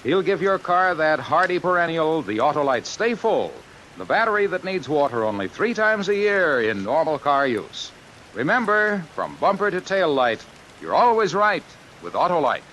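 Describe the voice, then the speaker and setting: Animated, high-spirited vintage radio pitchman. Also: normal speed, poor audio. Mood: chipper, selling. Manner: peppy, emphatic, polished. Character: chipper, punning salesman pitching car batteries. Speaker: commercial announcer. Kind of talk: 1950s radio advertisement. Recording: hissy old radio recording under rain sounds.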